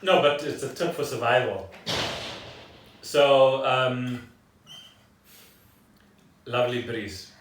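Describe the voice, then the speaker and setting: A man co-hosting a casual podcast, speaking in halting, deliberate phrases. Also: medium pitch, a bit bright, slight background noise. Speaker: podcast co-host. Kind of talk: casual unscripted chat. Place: echoey room at home. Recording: roomy echo, distant from mic.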